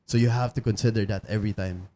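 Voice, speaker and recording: A man's voice: on the low side, steady tone, fast, dark tone, slight background noise. A man co-hosting a casual podcast chat in a barbershop studio, giving coach-like advice and switching between English and Filipino. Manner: coaching, mixes English and Filipino, many yeahs. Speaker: co-host.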